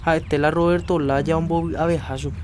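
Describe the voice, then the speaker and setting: An actor playing a reassuring rural husband in a radio drama. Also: male voice, fairly high pitch, level tone, brisk, darkish tone, slight background noise, lightly acted. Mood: calm. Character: caring husband Rafa, adult man.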